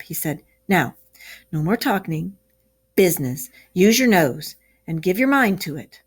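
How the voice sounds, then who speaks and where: Woman teacher reading aloud expressively. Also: on the low side. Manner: expressive, explaining, asks questions. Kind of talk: read-aloud lesson for children.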